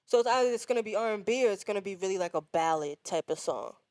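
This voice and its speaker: A young woman talks in a loose, halting way as a podcast guest, restarting her sentences and using casual slang. Her voice sits at a middling pitch and sounds close and dry, with little room around it. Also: animated, a little mellow, no room sound. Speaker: guest musician.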